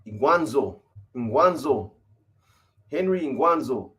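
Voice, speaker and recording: A man's voice: mid-range voice, lively intonation, slow pace, a bit bright, lo-fi sound. A man in his fifties hosting a casual livestream, answering viewer comments in a laid-back, profane, slang-heavy way. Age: 50s.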